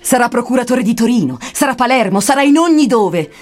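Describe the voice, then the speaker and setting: A woman's voice: on the high side, animated, fairly quick, darkish tone, lightly acted. A woman playing a solemn poet guide who prophesies infernal punishments in declamatory, archaic rhymed verse. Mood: mocking, prophetic. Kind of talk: dramatic verse recitation. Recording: music behind the voice.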